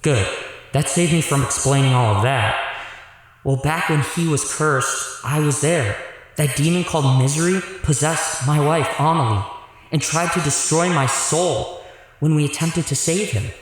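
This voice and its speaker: Heavy echo and long reverb surround this earnest hero played by a man, whose voice sits a little high. He is a weary supernatural fighter in a radio drama, explaining himself seriously. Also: measured pace, natural voice. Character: earnest time-warping husband, weary demon fighter. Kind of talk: audio drama acting. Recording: very echoey, long hall reverb.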